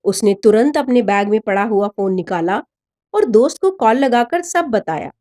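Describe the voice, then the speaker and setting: A woman reading a scripted story in an expressive, dramatic narrator's manner. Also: a little high, moderate pace. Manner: expressive, voices the dialogue of characters.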